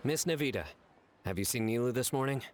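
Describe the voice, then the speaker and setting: A man's voice: mid-range voice, expressive, fast, a little hiss or hum, close to an everyday voice. A man playing a composed, serious general in a video game. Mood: composed, purposeful. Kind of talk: video game character voice acting. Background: music.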